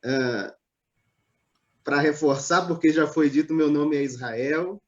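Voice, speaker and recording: A man from Northeast Brazil, a pastor, telling his life story in a live online interview in a measured, hesitant way, with many pauses and fillers. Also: on the high side, dull audio. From Natal, Northeast Brazil.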